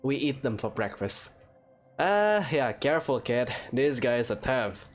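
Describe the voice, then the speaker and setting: A man's voice: medium pitch, big pitch swings, measured pace. A man giving casual, rambling, jokey let's play commentary as a gaming host. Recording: music under the voice. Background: game music.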